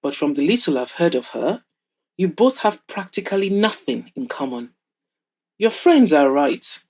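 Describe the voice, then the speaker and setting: A woman's voice: sits low, sing-song, unhurried, a little mellow, slightly rough, exaggerated voice. A woman voicing a proud, wry, wealthy older mother of a grown son in an audio drama, teasing and frank as she advises.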